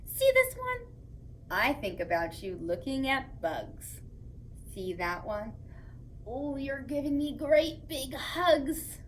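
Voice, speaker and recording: A woman hosting story time for young children, reading aloud and chatting to them in a playful, expressive way. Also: high voice, slow pace, slight background noise.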